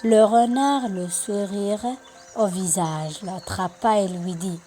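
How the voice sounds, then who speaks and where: A woman storyteller reading a scripted bedtime tale for children in an expressive, deliberate way. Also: mid-range voice, soft background music.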